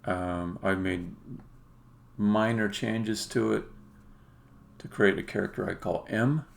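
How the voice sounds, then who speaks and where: A man giving a casual live demo as a game developer, explaining things in halting phrases with pauses mid-sentence. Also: on the low side, fairly even intonation, vocal fry. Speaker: game developer, stream host.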